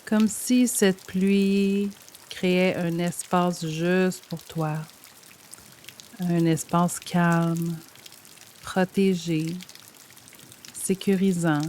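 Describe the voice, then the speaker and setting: A woman guiding a yoga nidra meditation in a gentle, soothing, measured way, leaving pauses between phrases. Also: lowish voice, slight background noise. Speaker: meditation guide. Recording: steady rain sound over the voice. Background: rain sound.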